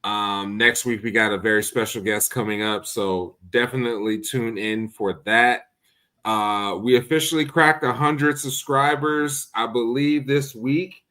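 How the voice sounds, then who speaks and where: A man hosting a casual podcast, relaxed, with filler ums as he wraps up the show. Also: medium pitch, a little slow. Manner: relaxed, with filler ums while listing thank-yous. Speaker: podcast host. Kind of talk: casual podcast chat and sign-off.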